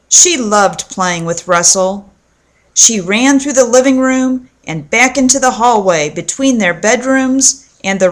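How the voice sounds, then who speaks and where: Slow-paced woman reading a children's story. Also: medium pitch, a bit bright. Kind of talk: storybook narration with acted dialogue.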